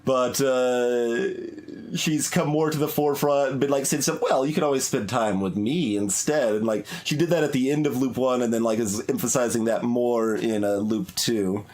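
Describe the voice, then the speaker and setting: A man's voice: medium pitch, steady tone, moderate pace, darkish tone. A man giving casual, rambling playthrough commentary as a video game streamer, musing aloud as he goes.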